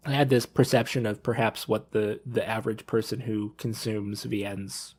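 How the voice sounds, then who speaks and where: A man's voice: lowish voice, level tone, normal speed, a little mellow, husky. A thirty-year-old man talking casually on a panel discussion, rambling as he repeats and rephrases himself. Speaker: podcast panelist.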